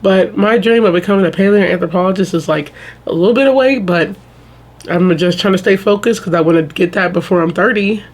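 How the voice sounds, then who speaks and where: A woman's voice: fairly low pitch, a little fast. Chatty young woman vlogging. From Southern United States. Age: 20s. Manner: chatty, addresses viewers as y'all, many restarts and fillers. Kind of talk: casual chat to camera.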